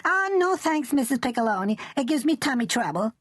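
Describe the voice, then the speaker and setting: A squeaky, high American woman, played by a male narrator, all sugar and gush. She is ditzy and cutesy, a storybook character recorded close and dry. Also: sing-song, a little fast, fairly bright tone, no room sound, exaggerated voice. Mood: sugary, gushing. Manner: cutesy, gushing. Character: gushing, sugary, ditzy pink-haired American girlfriend.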